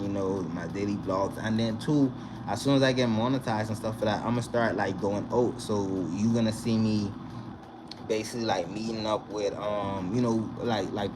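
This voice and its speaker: A young man from the Bahamas, vlogging in a casual, animated way and often checking in with the viewer. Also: lowish voice. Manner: animated, repeats "you understand?" to the viewer. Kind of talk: casual direct-to-camera chat. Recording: noisy recording with vehicle sounds behind.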